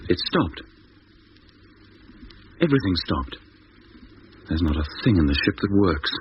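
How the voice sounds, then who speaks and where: An actor playing a sober, tense spaceship captain in clipped phrases, 1950s British radio drama on an old recording. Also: male voice, deep voice, sing-song, a little slow, muffled recording, background noise. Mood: grave. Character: tense, sober male rocket captain counting down and reporting failures.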